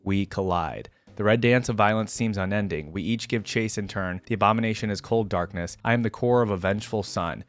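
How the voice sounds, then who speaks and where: A man with a fairly low voice hosts a reaction video. He reads fiction aloud in a dramatic storytelling style. His own commentary is casual and conversational.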